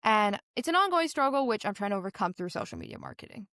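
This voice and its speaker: A woman's voice: medium pitch, very animated, fast, a bit bright, dry recording, studio quality. A teenage girl from Singapore giving earnest, conversational interview answers as a young business founder, telling stories animatedly with a lot of "like". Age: teens.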